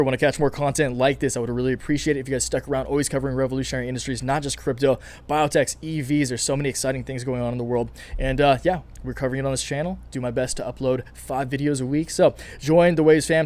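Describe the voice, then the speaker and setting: Male channel host, rapid upbeat commentary, slight rasp from a long day of recording. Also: medium pitch. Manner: fast, energetic, chatty, many fillers and restarts. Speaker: finance YouTuber. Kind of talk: casual market commentary to camera.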